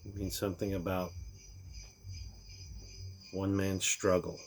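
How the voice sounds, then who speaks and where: A man reading a rhyming storybook aloud in a theatrical way, with deliberate pauses. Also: deep voice, little pitch movement, creaky, slight background noise. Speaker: reader of a storybook.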